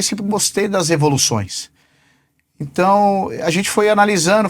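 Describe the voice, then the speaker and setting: A man in his forties, a business director being interviewed, answering in an unhurried way and pausing often on fillers. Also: a little high. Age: 40s. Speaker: podcast guest, company sales director.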